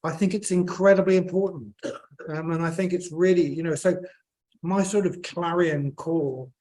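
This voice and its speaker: Male scientist on a panel, croaky throat, halting delivery, voice on the higher side. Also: fairly even intonation, a little mellow. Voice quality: hesitant, many fillers and restarts. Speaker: panel speaker, plant biotechnologist. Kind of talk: unscripted panel remarks.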